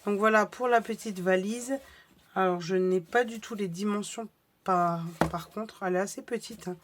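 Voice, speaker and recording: A woman vlogger with a slightly hoarse voice, chatting casually through a crafting haul with many fillers and restarts. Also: medium pitch, normal speed.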